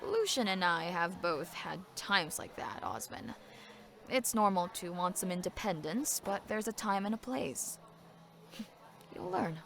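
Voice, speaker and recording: Blunt, sharp-tongued elder sister played by a woman. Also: fairly low pitch, expressive, unhurried, creaky, soft background music, full character voice. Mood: wry, firm. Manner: scolding, forthright. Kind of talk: audio drama acting.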